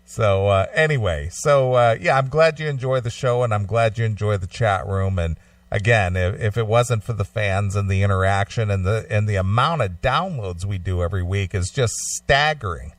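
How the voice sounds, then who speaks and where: A man hosting a casual talk show, speaking in a measured way with frequent pauses and fillers. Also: on the low side, dry recording, far from the mic. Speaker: host of a long-running radio show.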